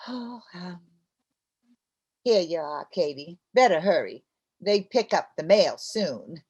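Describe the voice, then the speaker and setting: Theatrical, excitable radio housewife played by a woman. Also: lowish voice, slightly rough, heavily acted. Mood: brisk. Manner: theatrical, quick to jump to conclusions. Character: scheming, excitable, chatty housewife. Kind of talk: old-time radio comedy acting.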